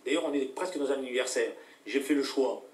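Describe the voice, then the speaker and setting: Middle-aged politician, hesitant, roomy studio. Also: male voice, medium pitch, recorded from a distance. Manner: deliberate, many hesitations and fillers. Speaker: politician guest. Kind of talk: talk show debate.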